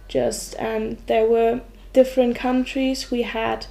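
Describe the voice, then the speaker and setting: A woman's voice: fairly high pitch, little pitch movement, slow, vocal fry, warm tone. A sixteen-year-old young woman with a German accent, giving casual answers as an interview guest. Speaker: exchange student guest.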